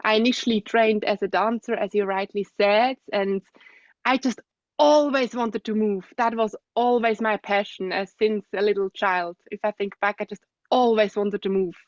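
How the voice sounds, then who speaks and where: A young adult woman with a Swiss accent, a guest speaking thoughtfully in an interview, with soft laughs and hums of agreement. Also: medium pitch, fairly even intonation, moderate pace, no echo, dull audio. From Switzerland. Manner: thoughtful, soft-spoken, frequent gentle laughs and hums of assent. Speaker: guest, osteopath. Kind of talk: reflective interview conversation.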